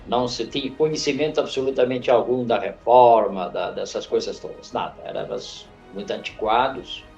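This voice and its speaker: An older man from northern Portugal, a church elder, recalling his life slowly with restarts in a studio interview. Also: mid-range voice, a little mellow, muffled recording, soft background music. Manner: halting, reflective storyteller with frequent restarts. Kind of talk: unscripted interview. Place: podcast studio.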